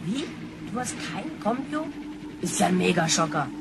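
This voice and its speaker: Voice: mid-range voice, sing-song, moderate pace, gravelly, noisy background. A young performer playing a cheeky grandchild from the future in a science-fiction radio play, slangy and scornful. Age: child or young teenager. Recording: music behind the voice.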